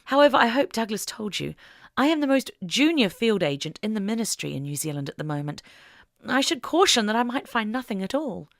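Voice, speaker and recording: Young female Victorian field agent, put on by a woman narrator, careful, deferential, earnest, very varied pitch, audiobook. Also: very animated, brisk, creaky, no room sound, studio quality. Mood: modest, nervous. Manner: careful, slightly awkward.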